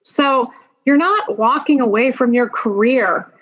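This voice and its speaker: A woman's voice: on the high side, unhurried, a bit bright. Dull-sounding recording, informal woman podcast guest. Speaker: podcast guest, attorney and executive. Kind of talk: conversational interview answers.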